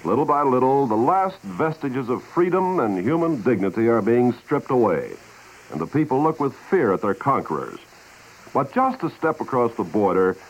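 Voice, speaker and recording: A male announcer reads scripted narration in 1950s radio style. He is measured and earnest, with a mid-range voice and very varied intonation. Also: medium pitch, low-quality recording.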